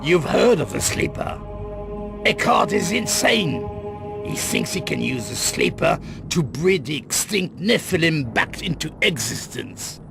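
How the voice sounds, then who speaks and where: Middle-aged crime boss, gravelly, grave and deliberate, video game drama, loud music behind. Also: male voice, a little high, very animated, heavily acted. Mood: grave, guarded. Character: cornered middle-aged male crime boss, grim and guarded. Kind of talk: dramatic video game cut-scene acting.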